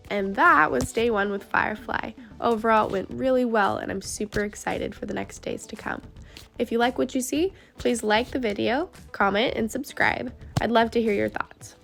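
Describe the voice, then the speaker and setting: A woman's voice: a little high, normal speed, gravelly, fairly bright tone, soft background music. A young woman with a stuffy cold, narrating her horse training in an upbeat, earnest voice-over that explains each step.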